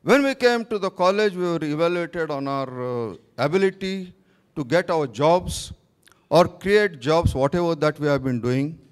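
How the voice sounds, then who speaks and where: A man speaks to a gathered audience in a fairly high voice. He stops and restarts often, breaking off words mid-thought. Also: expressive, clear, resonant tone. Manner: halting, with restarts and cut-off words. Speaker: speaker at a training meet. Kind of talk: informal talk to an audience.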